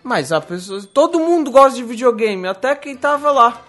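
A man's voice: extremely high pitch, expressive, normal speed, a bit bright, soft background music. A man from Brazil co-hosting a comedy radio show, speaking in a defensive, whiny way.

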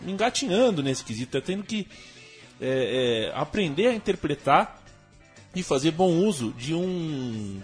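A man from Brazil hosting a casual sports talk show, thinking aloud and restarting his phrases often. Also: fairly high pitch. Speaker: host.

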